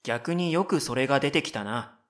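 A man's voice: mid-range voice, animated, moderate pace, fairly bright tone, natural voice. A man voicing a dry-witted, exasperated middle-school boy who narrates and makes matter-of-fact retorts in a comedic radio drama.

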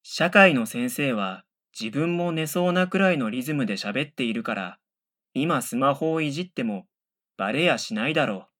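A man's voice: mid-range voice, lively intonation, normal speed, close to an everyday voice. A man playing a middle-school boy, the dry, exasperated straight man of a radio comedy, giving retorts and wry narration. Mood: wry narrating. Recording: loud background music.

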